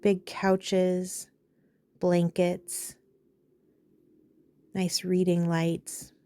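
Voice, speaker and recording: Mellow, low-voiced woman guiding meditation. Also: smooth, dry recording. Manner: calm, soothing, unhurried instruction with pauses. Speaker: meditation guide. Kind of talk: guided meditation.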